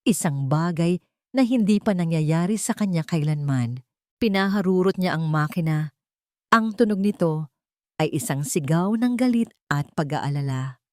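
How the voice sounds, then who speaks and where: A woman's voice: fairly low pitch, animated, moderate pace, dry recording, studio quality. A woman narrator reading a scripted romance story in a dramatic style.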